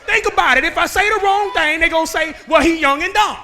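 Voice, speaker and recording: A man, preaching a sermon that moves between joking talk and shouted calls to the men. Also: very high-pitched, fast talker. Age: 30s. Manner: conversational preaching that builds to shouting, with laughs and rhetorical questions. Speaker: guest preacher. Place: church sanctuary.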